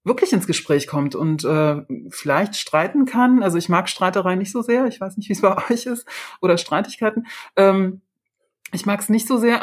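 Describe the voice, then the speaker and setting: A woman's voice: mid-range voice, husky. A woman hosting a podcast discussion in a conversational, reflective way, circling back to her questions. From Turkey connection. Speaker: podcast host. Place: indoors, seated beside co-host.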